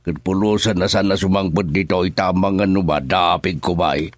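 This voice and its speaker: A stern old father , played by a man, with a deep, low voice and an Ilocano accent, gruff and commanding. He is heard up close in a dry studio sound, as in a radio play. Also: steady tone, a little fast, dry recording. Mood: worried. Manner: gruff and commanding, shouting in anger. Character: stern older father angry at son-in-law. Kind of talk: radio drama acting.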